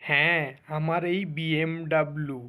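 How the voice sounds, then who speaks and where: A man's voice: fairly high pitch, little pitch movement, a little slow, pushed and bright. A man voicing a boastful, lazy husband from a faded landlord family in a Bengali cartoon, with a pompous, bragging manner.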